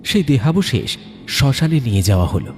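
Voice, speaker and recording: A man's voice: medium pitch, big pitch swings, brisk. A man narrating a scripted horror story in a dramatic, suspenseful storytelling manner. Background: music.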